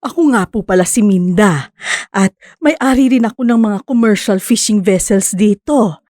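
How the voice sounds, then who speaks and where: A woman's voice: medium pitch, normal speed, darkish tone, no room sound, studio quality, lightly acted. A woman playing an outspoken fishing vessel owner in a radio drama, assertive but still polite. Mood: firm, objecting.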